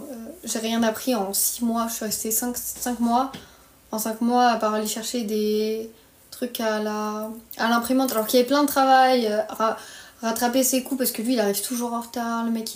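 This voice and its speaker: A woman in her early twenties from France with a French accent, chatting casually on a livestream in a blunt, slangy, griping way. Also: fairly high pitch. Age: early 20s. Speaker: live streamer. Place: small bedroom, indoors. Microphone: phone at arm's length. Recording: roomy echo on phone microphone.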